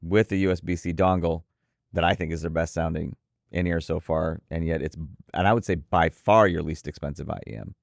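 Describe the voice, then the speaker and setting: A man's voice: low voice, fairly even intonation, a little fast, gravelly, no room sound, studio quality. A man hosting a casual interview, thinking aloud with frequent restarts and hedges.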